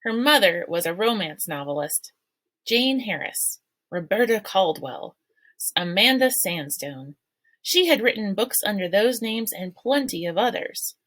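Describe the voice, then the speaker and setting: A woman's voice: mid-range voice, lively intonation, a little slow, fairly bright tone, dry recording. Woman reading a novel aloud, dry close. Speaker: read-aloud narrator.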